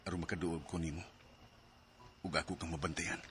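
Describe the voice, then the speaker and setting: A man's voice: low-pitched, little pitch movement, fairly quick, a bit bright, slight background noise. An actor with a Cebuano Filipino accent playing a man shyly confessing his love in a radio drama. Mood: earnest confession.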